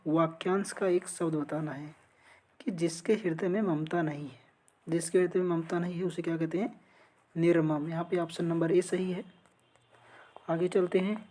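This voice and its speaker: Woman, slightly low pitch, exam tutor, instructional, question-and-answer lesson delivery. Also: warm tone. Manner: instructional, poses questions then answers them. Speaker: exam-prep teacher. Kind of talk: lesson going through multiple-choice quiz questions.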